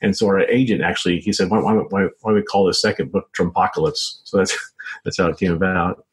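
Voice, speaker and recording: Deep-voiced American man, halting speech. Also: fairly even intonation. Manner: halting, repeats words and says "you know" constantly. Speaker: interview guest, author and journalist. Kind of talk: conversational interview answers.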